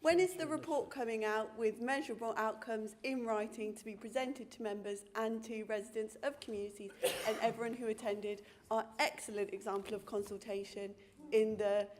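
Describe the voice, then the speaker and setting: Cheerful high-voiced woman councillor. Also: steady tone, normal speed, slight background noise. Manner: cheerful, jokey, direct. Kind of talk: council meeting question.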